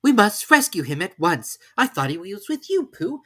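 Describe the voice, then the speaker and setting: A storybook reader voicing an affectionate young boy with an earnest, excitable, childlike manner. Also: mid-range voice, normal speed, voice pushed up. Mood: urgent.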